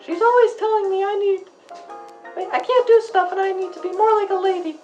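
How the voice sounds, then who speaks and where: A woman playing a very high-voiced, blunt little girl. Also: steady tone, a little fast, a little mellow, lo-fi sound, faint music behind. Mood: indignant. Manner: blunt, childlike, argumentative. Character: blunt, indignant nine-year-old Southern tomboy girl. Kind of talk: acted radio interview for a school project.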